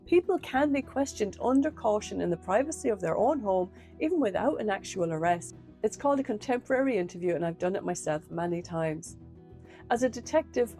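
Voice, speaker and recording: Hoarse ex-detective lady, mellow warm voice, calm earnest case breakdown, soft music behind. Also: medium pitch. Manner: measured, earnest, direct address to viewers. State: hoarse from a cold. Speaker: former detective, true crime channel host. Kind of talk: true crime case breakdown to camera.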